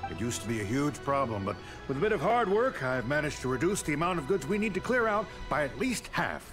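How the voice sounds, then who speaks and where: An actor voicing a nervous warehouse clerk in a video game, talking in a flustered, anxious, over-eager way, with music playing behind. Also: male voice, on the high side, sing-song, a little fast. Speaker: game character.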